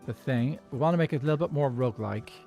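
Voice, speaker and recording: A man's voice: medium pitch, fast, creaky, dark tone, soft background music. A man from Dublin with a posh Irish accent, streaming a block-building game in casual chat, thinking aloud and laughing often. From Dublin, Ireland.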